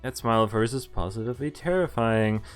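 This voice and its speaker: A man's voice: mid-range voice, fast. A man livestreaming a visual novel, reading it aloud theatrically with amused commentary. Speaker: game streamer. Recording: game music behind the voice. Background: visual novel music and Japanese game voices.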